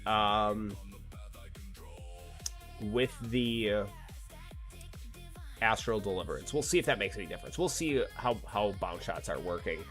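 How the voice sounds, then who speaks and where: A man's voice: lowish voice, lively intonation, a little slow, pauses often, a bit bright, soft background music. Laid-back nasal young-sounding man streaming. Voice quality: slightly nasal. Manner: laid-back, swears freely, talks to chat. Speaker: gaming streamer. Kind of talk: casual live-stream chat while playing.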